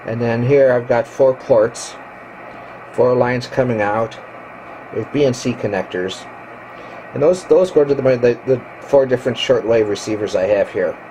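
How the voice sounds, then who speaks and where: A man giving a casual, hands-on video walkthrough as a hobbyist, explaining technical gear in a matter-of-fact, halting way into a handheld camera. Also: mid-range voice, mellow. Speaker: ham radio hobbyist showing his equipment. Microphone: handheld camera microphone. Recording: clearly noisy handheld camera recording.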